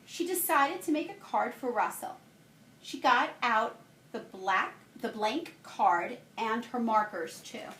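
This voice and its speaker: A woman's voice: a little high, takes it slowly, a bit bright, a little hiss or hum. A woman who works as a teacher, reading a children's picture book aloud expressively. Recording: roomy, echoey home-style recording.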